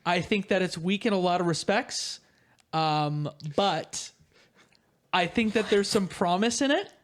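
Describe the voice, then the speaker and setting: A man's voice: sits high, animated, measured pace. A man hosts a casual podcast chat in a mid-range voice. He is jokey and a little hesitant, happy to poke fun at himself. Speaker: podcast host.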